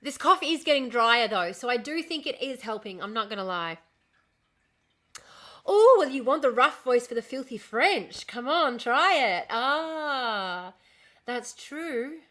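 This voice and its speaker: Bright-voiced woman, slightly hoarse. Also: on the high side, big pitch swings, unhurried. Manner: rambling, swears often, answers chat comments. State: losing her voice from illness. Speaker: livestream host. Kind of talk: casual livestream chat with viewers.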